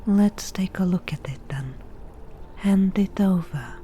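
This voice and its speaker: A woman playing a wry, teasing forest witch in a softly whispered ASMR roleplay, with dry, unhurried delivery. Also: medium pitch, faint music behind, natural voice. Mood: curious, mocking.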